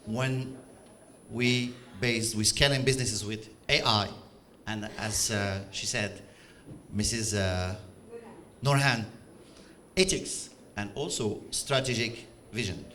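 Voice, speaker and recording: A man's voice: fairly low pitch, slow pace, sharp tone, a little hiss or hum. A middle-aged man from Algeria with an Algerian accent, giving a conference presentation in non-native English, hesitant, with many fillers and restarts. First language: French and Arabic. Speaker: conference speaker. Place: conference stage.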